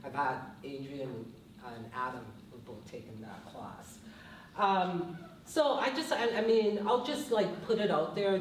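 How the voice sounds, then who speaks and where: A woman's voice: sits low, darkish tone, a little hiss or hum. Guyanese-accented woman professor, thoughtful panelist, distant mic in a very echoey room. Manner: thoughtful, often adds "right?". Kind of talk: panel discussion talk.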